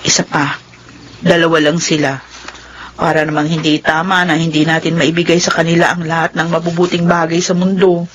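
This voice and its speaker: A woman's voice: sits low, flat delivery, brisk, slight background noise, lightly acted. An actress playing a hardworking, devoted middle-aged mother in a radio drama, earnest and fussing. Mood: earnest, tired.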